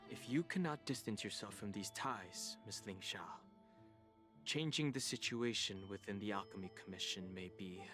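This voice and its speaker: A man's voice: lowish voice, lightly acted. An actor voicing a reserved, serious young man in a video game, formal, courteous and careful with his words. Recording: background music under the voice.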